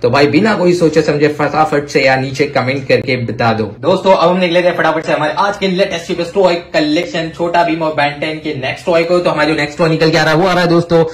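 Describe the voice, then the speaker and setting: A man hosting a toy showcase, talking to viewers with excitable, repetitive exclamations. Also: on the high side, fast, few pauses. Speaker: toy review video host. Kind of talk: enthusiastic toy showcase commentary addressed to viewers. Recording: clear background noise with clicks.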